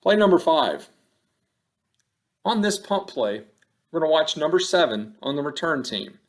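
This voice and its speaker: A man narrates a training film as an instructor would. His voice sits a little high for a man. He speaks in short, measured, matter-of-fact statements, yet his intonation rises and falls in a lively way. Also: gravelly. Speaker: football officiating instructor. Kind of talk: scripted instructional narration over game film.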